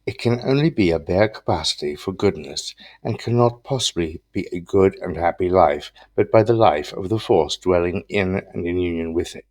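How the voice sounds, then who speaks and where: A man reciting philosophical quotations from a script in a solemn, deliberate manner. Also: sits low, animated, darkish tone, dry recording. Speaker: reader of quotations.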